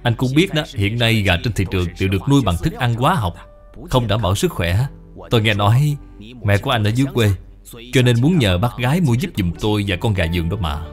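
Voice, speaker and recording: A man's voice: lowish voice, animated, quick pace, soft background music, natural voice. A man voicing a sociable businessman client in a moral cartoon, genially explaining as he asks a favour. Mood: genial, requesting. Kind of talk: dubbed moral cartoon dialogue.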